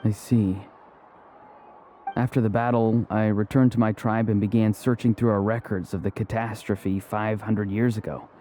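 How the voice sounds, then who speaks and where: Man voicing young male game warrior, very mellow, serious, measured delivery, faint background noise. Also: on the low side, flat delivery, lightly acted. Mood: serious, puzzled. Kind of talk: English video game character acting.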